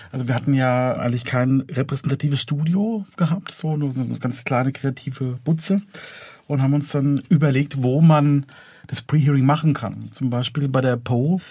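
A man's voice: medium pitch, dark tone, no echo. A man chatting casually and anecdotally in an interview as an audio drama producer.

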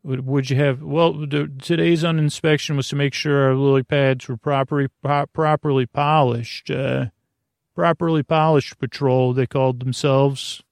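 A man playing a mild, put-upon frog father in a bedtime story, with a creaky voice and a halting, grumbling, meandering delivery. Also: mid-range voice, steady tone, dry recording, clean studio sound, close to an everyday voice. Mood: weary recounting.

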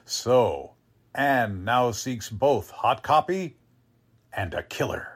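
A male announcer narrates in 1940s radio style. His delivery is slow and dramatic. The pitch is medium and the phrasing deliberate. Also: expressive, breathy edge.

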